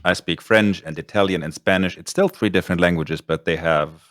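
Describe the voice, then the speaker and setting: A man's voice: deep voice, a little fast, a bit bright, no room sound, studio quality. A man hosting a podcast interview, chatting casually and rambling through his points. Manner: rambling, with many ums and restarts. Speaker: podcast host.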